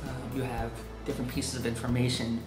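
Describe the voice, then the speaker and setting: A man's voice: medium pitch, little pitch movement, brisk. A middle-aged man talking casually in an interview, explaining things as he thinks aloud. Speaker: author of a local history book, interviewee. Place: indoor rooms, office and home. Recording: roomy echo, music underneath. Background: instrumental music.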